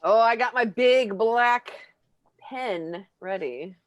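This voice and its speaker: A woman's voice: on the high side, slow. A woman playing a giggly, cheeky celebrity panelist in an improvised game show parody. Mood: playful. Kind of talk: improvised comedy banter.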